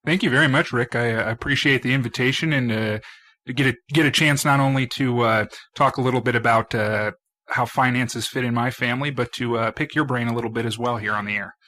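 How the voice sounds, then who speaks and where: A man with a bright, forward voice at a medium pitch chats as a guest in an interview. His phrasing is halting and hesitant, in an informal conversational style. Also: steady tone. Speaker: show guest, estate attorney. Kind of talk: casual interview chat.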